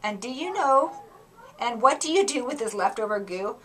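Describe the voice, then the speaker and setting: Woman doing a small male storybook forest creature, shrill and scolding, faint hiss behind. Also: lively intonation, moderate pace, recorded from a distance. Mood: indignant. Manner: loud, high, indignant scolding.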